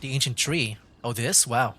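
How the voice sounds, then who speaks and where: A man's voice: medium pitch, moderate pace, sharp tone, soft background music. A man streaming a video game with casual, tentative reactive commentary, thinking aloud. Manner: musing, tentative.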